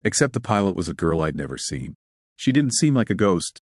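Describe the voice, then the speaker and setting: A man's voice: low voice, sing-song, brisk, darkish tone, no echo, studio quality. A man voicing a bewildered, frustrated space station worker in an audio story, questioning and exasperated. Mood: insistent.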